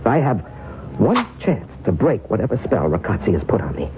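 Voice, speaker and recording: Desperate hunted guy in old radio play, gravelly, voice swings a lot, halting and pleading. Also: on the low side, sing-song. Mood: haunted, urgent. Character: desperate man convinced he is hunted by a curse. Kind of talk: old-time radio drama acting. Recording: muffled, noisy old radio recording.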